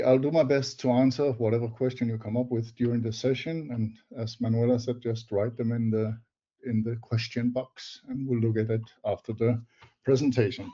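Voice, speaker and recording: Older Danish man presenting, mellow voice. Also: mid-range voice. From Denmark. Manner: measured, slightly halting non-native phrasing. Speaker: presenter, technology advisor. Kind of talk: webinar presentation. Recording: dull lo-fi webinar audio.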